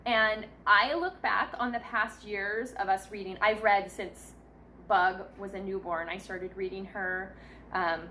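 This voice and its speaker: A woman's voice: a little high, fairly bright tone, slight background noise. A woman in her thirties, a mother giving casual, encouraging tips in a chatty vlog style. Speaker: mother sharing parenting tips. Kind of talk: casual instructional vlog talk.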